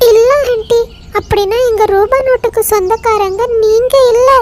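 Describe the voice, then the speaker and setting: A woman's voice: very high-pitched, fairly even intonation, moderate pace, darkish tone, pitched above the performer's own voice. A woman voicing an earnest, polite young girl bird in a children's cartoon. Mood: earnest, firm. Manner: polite, questioning, firm. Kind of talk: children's cartoon story acting.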